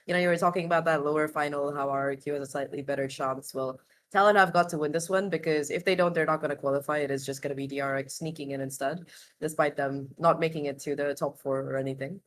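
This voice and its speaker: A woman's voice: low voice, a little hiss or hum. A woman co-hosting an esports podcast, casually discussing competitive gaming news.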